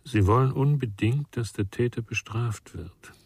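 An actor playing a gentle, melancholy man in a radio drama, speaking with subdued patience. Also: mid-range voice, unhurried, slightly rough. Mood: calm, probing.

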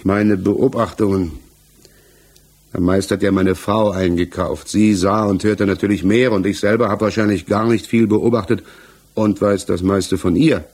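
Defensive village tradesman, low husky voice, halting and evasive, 1960s radio drama. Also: steady tone, a little mellow. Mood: reflective, uneasy. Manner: halting, searching for words, evasive. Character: defensive, hesitant married village tradesman under judicial questioning. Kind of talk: 1960s German radio play acting.

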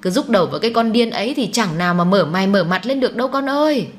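A woman's voice: on the high side, a little fast, a little hiss or hum, pitched above the performer's own voice, natural voice. A woman voicing a domineering, snobbish older mother who scolds indignantly in a dramatised audiobook. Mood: furious.

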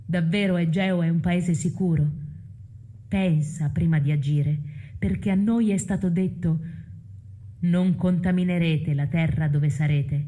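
A woman playing a solemn chorus of citizens in a theatrical radio drama, measured and admonishing. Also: on the low side, steady tone, dark tone, close to an everyday voice. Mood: solemn warning.

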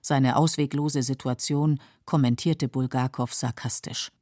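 A woman narrating a scripted radio documentary in a measured, sober manner. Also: rumbling low, fairly even intonation, warm tone, dry recording, studio quality. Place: dry studio.

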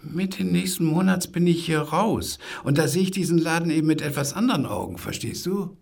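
An actor playing an older male security guard near retirement in a radio drama, husky and gravelly, stumbling over his word starts. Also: a little high, lively intonation, fairly quick, a little mellow. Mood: wistful, hesitant. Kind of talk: naturalistic radio drama acting.

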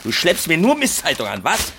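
A man's voice: fairly high pitch, huge pitch swings, brisk, pushed and bright, slight background noise, exaggerated voice. An actor playing a bedridden, bitter, abusive sick man who grumbles and rants in a radio drama. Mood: sour, contemptuous. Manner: grumbling, cantankerous, ranting. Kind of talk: German radio drama acting.

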